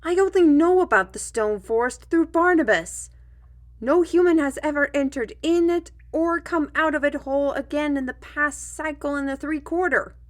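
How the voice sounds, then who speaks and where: A woman's voice: high voice, normal speed, close to an everyday voice. A woman playing a weary, wry artist in a fantasy audio drama, musing and conversational as she tells tales and explains plans. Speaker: voice actor in a fantasy audio roleplay. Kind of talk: in-character storytelling and dialogue in audio drama.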